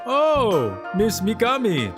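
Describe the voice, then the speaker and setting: A man's voice: high voice, wildly swooping pitch, slow, over music, exaggerated voice. A man playing a smarmy vice principal. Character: smarmy middle-aged male vice principal. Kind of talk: amateur manga dub acting.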